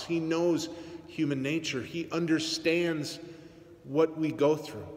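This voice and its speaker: Man preaching in an echoing church. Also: a little high. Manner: earnest, measured preaching with teasing asides. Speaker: priest. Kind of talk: sermon. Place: large church sanctuary. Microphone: phone camera on the pulpit, aimed up. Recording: long church reverb.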